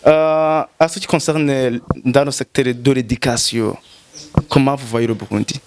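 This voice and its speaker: A man working as an interviewer, putting measured questions to a guest. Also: medium pitch, fairly even intonation, darkish tone. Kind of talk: interview questions with short backchannels.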